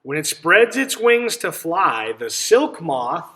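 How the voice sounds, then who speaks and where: A man reading a picture book aloud to children as a teacher, pausing to ask simple questions and explain things. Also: on the high side, sing-song, bright, forceful tone. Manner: instructive, checks understanding with simple questions.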